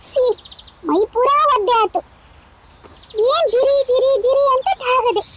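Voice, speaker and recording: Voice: extremely high pitch, noisy background. A performer voicing an exasperated cartoon village mother who nags, grumbles and coaxes. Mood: grumbling. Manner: nagging, grumbling, coaxing. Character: exasperated village mother scolding and coaxing her son. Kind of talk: cartoon dialogue in colloquial village speech.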